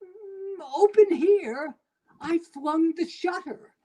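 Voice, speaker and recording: Very slow, high-pitched theatrical woman reciting poetry. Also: warm tone. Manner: halting, drawn-out phrasing with heavy dramatic pauses. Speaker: performer at a live dramatic reading.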